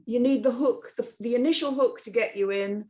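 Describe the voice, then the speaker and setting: A woman's voice: fairly high pitch, brisk, a little mellow, highs cut off. A woman on a script reading panel giving an unscripted, emphatic and blunt critique in discussion.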